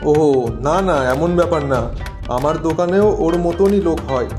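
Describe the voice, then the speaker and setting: A man's voice: fairly high pitch, normal speed, over music, natural voice. A man voicing a stubborn, curt, greedy shopkeeper in a moral-story cartoon, with a brusque and insistent manner. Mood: defensive.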